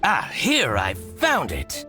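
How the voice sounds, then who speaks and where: Wildly swooping, spiteful petty merchant. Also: male voice, medium pitch, huge pitch swings, bright, forceful tone, heavily acted. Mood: smug, scheming. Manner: exaggerated, drawn-out, scheming delivery with sighs and scoffs. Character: theatrical, spiteful male merchant, gleefully petty and ingratiating. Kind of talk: video game character acting. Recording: music playing behind the voice. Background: game music.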